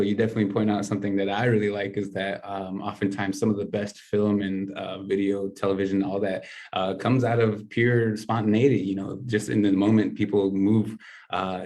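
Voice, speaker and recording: A man hosting a podcast, speaking in a measured, earnest way with many "uh" fillers. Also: lowish voice, darkish tone. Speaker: podcast host.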